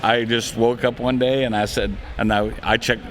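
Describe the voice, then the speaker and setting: A man's voice: medium pitch, level tone, normal speed, a bit bright, slight background noise. A middle-aged man, a business owner appearing as a guest on a casual talk show, speaking plainly and agreeing often. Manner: plainspoken, frequent short agreements.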